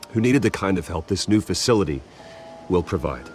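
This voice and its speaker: An American man playing a brooding billionaire heir in a video game, speaking to the press in a measured, halting, earnest way. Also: sits low, expressive, a little mellow, soft background music. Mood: solemn. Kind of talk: video game dramatic acting.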